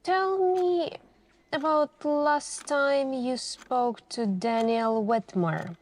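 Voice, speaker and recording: Woman acting a very slow, menacing female detective. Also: sits high. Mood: accusing, knowing. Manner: deliberate, measured, insinuating, with pointed pauses. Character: suspicious, menacing female police detective interrogating a suspect. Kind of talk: slow ASMR roleplay interrogation.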